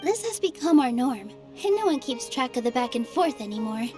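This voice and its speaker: A kind, cheerful young female dancer in a video game. Her voice sits high and she sounds warm and reassuring. Faint game music plays underneath. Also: very animated, a little fast. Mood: gentle.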